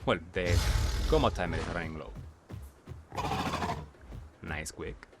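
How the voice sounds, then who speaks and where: A man's voice: sits low, lively intonation, slow pace, loud music behind the voice. A man doing gameplay commentary as a video creator, explaining in short clipped phrases and often asking himself questions before answering them.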